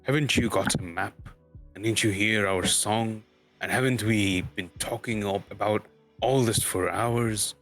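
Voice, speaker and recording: Storybook dwarf lord, performed by a man: low voice, proud and impatient, declaiming in emphatic bursts, music underneath. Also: steady tone, unhurried, a bit bright. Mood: exasperated. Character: Thorin, proud male dwarf leader, grandiose and impatient.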